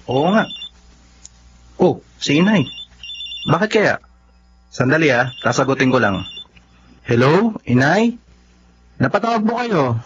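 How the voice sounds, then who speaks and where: A man's voice: on the high side, very animated, unhurried, long pauses, breathy edge, full character voice. Earnest young man, lightish voice. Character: earnest, dutiful young working man, weary son. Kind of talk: Tagalog radio drama acting. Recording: dull, slightly noisy radio drama audio.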